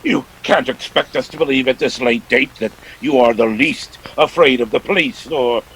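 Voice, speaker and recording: An actor playing a jovial but menacing older crime boss in a radio drama, florid and genteel with a gravelly, husky voice. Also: male voice, mid-range voice, normal speed, fairly bright tone, a little hiss or hum, poor audio. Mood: amused, astonished. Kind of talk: 1940s radio drama acting.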